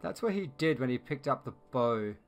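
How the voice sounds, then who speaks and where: Gravelly man muttering through a game. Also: mid-range voice, sing-song, normal speed. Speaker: gamer playing and commenting. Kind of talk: casual let's play commentary.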